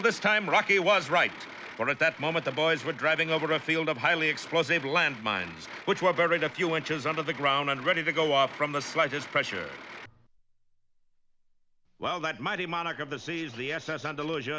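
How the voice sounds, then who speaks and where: A man's voice: fairly high pitch, a little fast, sharp tone, muffled recording. A man playing a breathless, mock-serious narrator of a classic cartoon serial, urgent and florid. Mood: brisk, wry. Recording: old cartoon soundtrack with faint hiss.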